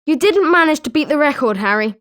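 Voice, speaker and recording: High-pitched, eager British schoolboy played by a woman. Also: lively intonation, brisk, a bit bright. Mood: consoling. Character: eager, sporting schoolboy wizard, friendly and competitive. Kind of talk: scripted video game character lines.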